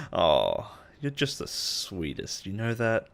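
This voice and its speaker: An actor playing a possessive male boss in an intimate roleplay audio drama, coaxing and quietly menacing. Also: medium pitch, vocal fry, exaggerated voice. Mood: coaxing, friendly. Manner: soft-spoken coaxing, chuckles and sighs, quietly menacing.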